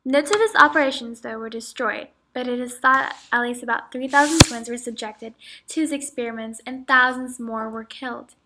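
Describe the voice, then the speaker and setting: A woman's voice: a little high, fairly even intonation, normal speed, sharp tone, slight background noise, poor audio. A young woman playing a female camp survivor in a student mock interview, reciting her account as if reading a script. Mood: recounting. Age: young adult. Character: woman camp survivor and twin, grave and matter-of-fact. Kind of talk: amateur mock interview role-play.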